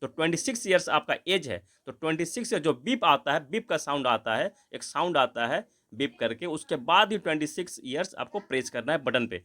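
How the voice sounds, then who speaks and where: A man's voice: fairly high pitch, lively intonation, brisk, hardly any pauses, sharp tone. A man giving an informal, emphatic and repetitive explanation of instructions, mixing in English words. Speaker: channel host explaining instructions.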